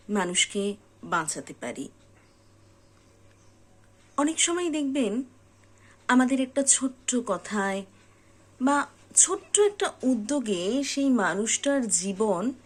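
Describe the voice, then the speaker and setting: A woman with a fairly high voice speaks directly to the listener in a motivational style. She takes her time, very slowly setting out short, deliberate phrases. Her delivery is earnest, with firm emphasis on key words. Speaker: host of a motivational reflection channel.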